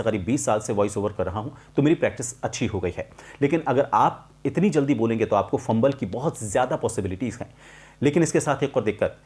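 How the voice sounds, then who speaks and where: A middle-aged man explaining a lesson to viewers as a voice coach, in a deliberate, emphatic manner with clear pauses. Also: medium pitch, a little mellow. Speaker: voice-over artist and voice coach hosting a tutorial.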